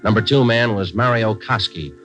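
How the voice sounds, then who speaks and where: A man's voice: on the low side, normal speed, fairly bright tone, lightly acted. An American man playing a laconic, businesslike detective sergeant in a 1940s radio crime drama, speaking in clipped, matter-of-fact lines. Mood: deadpan narration. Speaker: narrator and lead actor. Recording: dull vintage radio recording with music.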